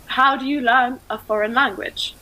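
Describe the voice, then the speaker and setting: A woman's voice: sits high, fairly even intonation, moderate pace, bright, forceful tone, poor audio. A woman with an English accent, asking speaking-test questions in a friendly way. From England. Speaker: examiner.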